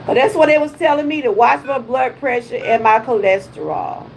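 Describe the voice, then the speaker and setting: A woman's voice: on the high side, moderate pace, vocal fry, a little hiss or hum, low-quality recording. Chatty Southern woman in her fifties. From Georgia, USA. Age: 50s. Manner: chatty, exclamatory, folksy. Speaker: wellness vlogger. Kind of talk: casual livestream chat.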